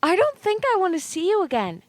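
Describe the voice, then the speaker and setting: A woman's voice: extremely high pitch, huge pitch swings, a little fast, dry recording, exaggerated voice. A woman playing a frank, meddling young woman in an audio play, with a blunt, lecturing manner.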